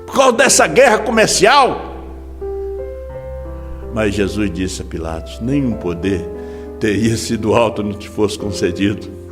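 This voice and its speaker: A man preaching a sermon as pastor in a Brazilian Portuguese accent, impassioned, swinging from hushed drops to sudden shouts. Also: on the low side, very animated, measured pace, pauses often, long reverb tail, music in the background. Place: large church hall.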